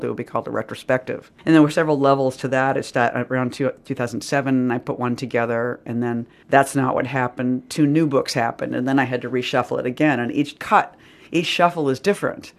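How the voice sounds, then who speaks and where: A woman with a Boston accent, a poet talking casually in an interview, rambling with lots of "you know" and "like". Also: very deep voice.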